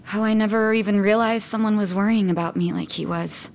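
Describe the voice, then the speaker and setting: A woman's voice: mid-range voice, fairly even intonation, fairly quick, a little hiss or hum, close to an everyday voice. An actress playing a blunt, wounded young woman in a video game, speaking frankly and with emotion. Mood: regretful. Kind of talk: English game voice acting.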